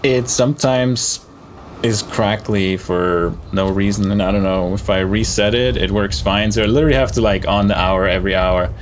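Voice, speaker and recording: A man's voice: fairly low pitch, moderate pace, slight background noise. A man giving casual live gameplay commentary, thinking aloud as he plays. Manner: thinking aloud while playing. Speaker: game streamer.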